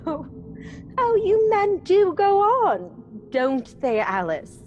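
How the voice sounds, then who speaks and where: An actress playing a sweet, demure young widow and bride in old-time radio melodrama style. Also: female voice, very high voice, very animated, very slow, darkish tone, highs cut off, slight background noise, exaggerated voice. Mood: coy, apologetic.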